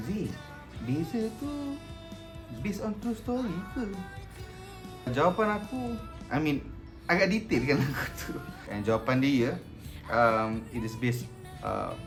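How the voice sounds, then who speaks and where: Malaysian male vlog host, easygoing and chatty, mid-pitched, faint music underneath. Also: animated, unhurried, pauses often. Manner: chatty, many ums. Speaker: video host. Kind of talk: casual vlog talk mixing Malay and English.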